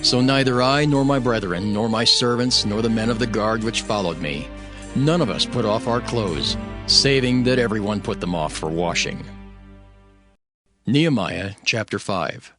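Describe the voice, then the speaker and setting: Male scripture narrator: solemn, declamatory, expressive mid-range voice over music. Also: on the low side, moderate pace. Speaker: narrator reading as Nehemiah.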